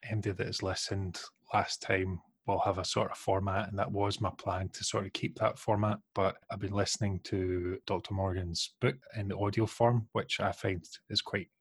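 A man hosting a podcast, his voice unusually deep from a call glitch, hesitant with many fillers and restarts, recorded over a video call. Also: little pitch movement, normal speed, few pauses, breathy edge, dry recording. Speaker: podcast host. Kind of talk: podcast intro and interview questions.

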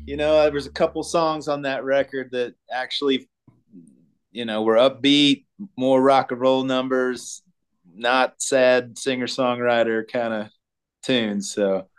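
A singer-songwriter from Colorado, a man, answering interview questions in a laid-back, halting way with many ums. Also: mid-range voice, steady tone, smooth. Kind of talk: casual interview chat.

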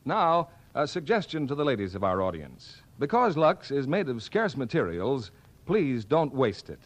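A man's voice: mid-range voice, sing-song, moderate pace. A man with an American accent delivering scripted 1940s radio announcements and advertising patter.

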